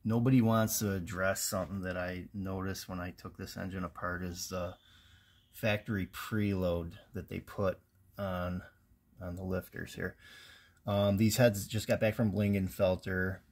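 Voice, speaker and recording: A man with a somewhat low voice talks through engine parts in a plain, technical way, with ums and restarts. He sounds like a casual garage how-to, recorded close on a handheld phone. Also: level tone, measured pace. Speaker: home mechanic. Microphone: handheld phone camera close over engine.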